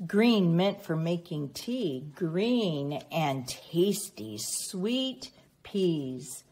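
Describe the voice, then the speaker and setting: Very slow, animated woman reading to children. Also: on the low side. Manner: teacherly, prompting with questions and pauses. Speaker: children's read-aloud reader. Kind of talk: expressive picture-book read-aloud with questions to young children.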